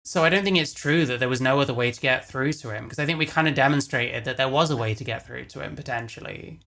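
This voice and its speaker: A man's voice: medium pitch, fast, creaky, sharp tone. A man giving casual, matter-of-fact livestream commentary, blunt and prone to swearing. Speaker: stream co-commentator.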